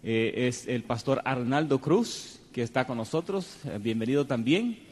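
Male TV panel host, courteous, halting, long hall reverb. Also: medium pitch, lively intonation, darkish tone. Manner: hesitant, many fillers, courteous. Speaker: program host and panel moderator. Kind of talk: religious TV panel discussion.

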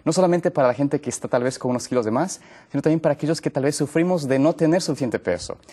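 A man hosts a television health program from a studio. His voice is warm and mellow, his delivery friendly and plain-spoken, with the odd self-correction. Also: a little high, lively intonation, quick pace, husky. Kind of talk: TV talk show hosting.

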